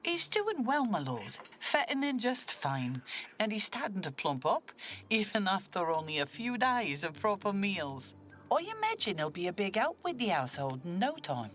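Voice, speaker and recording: A woman's voice: medium pitch, big pitch swings, normal speed, a bit bright. A woman playing a deferential, kindly head scullery maid in an audio drama. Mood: respectful.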